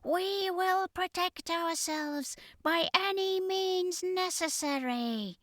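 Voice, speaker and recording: A woman voicing a proud, vengeful octopoid alien emperor in a slow, deliberate, imperious manner for a story reading. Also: very high-pitched, a bit bright, no room sound, clean studio sound, pitched above the performer's own voice, exaggerated voice. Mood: angry, menacing. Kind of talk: audiobook character voice.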